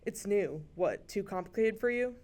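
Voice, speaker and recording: A woman's voice: mid-range voice, lively intonation, moderate pace, darkish tone, lightly acted. Curt, scornful young woman raver, acted by a woman. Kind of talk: scripted table read of a TV drama.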